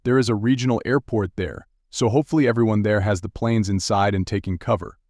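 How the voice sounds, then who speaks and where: Male TV meteorologist, played by an actor, lowish pitch, dry sound. Urgent but steady live storm coverage, direct and instructive. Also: fairly quick, no room sound. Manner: direct, instructive, addressing viewers. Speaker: TV weather broadcaster. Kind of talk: live severe weather broadcast commentary.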